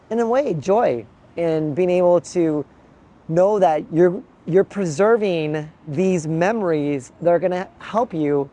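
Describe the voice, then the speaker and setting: A man from the American Midwest talking thoughtfully to his handheld camera outdoors, pausing and restarting as he muses. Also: on the high side, a little mellow. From Midwest United States. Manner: thoughtful and musing, with frequent pauses and restarts. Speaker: travel vlogger. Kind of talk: reflective vlog monologue to camera. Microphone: handheld pocket gimbal camera.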